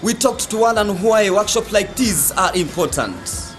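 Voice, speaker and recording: A man's voice: high voice, animated, measured pace. A man reading a scripted news feature as its reporter. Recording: echoey hall reverb, music behind. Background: music.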